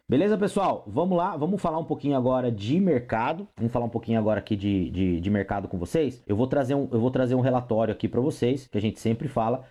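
A hoarse man with a Brazilian Portuguese accent giving casual market commentary on the radio, talking informally to his listeners with lots of fillers. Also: medium pitch, dark tone. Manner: informal, repeats fillers, addresses listeners as "pessoal".

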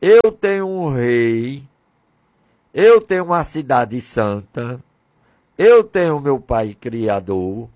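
Slow, fervent older Brazilian radio preacher. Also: male voice, medium pitch, very animated, a little mellow. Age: 60s. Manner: fervent, repetitive rhetorical questions. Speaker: radio presenter. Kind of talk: religious radio talk.

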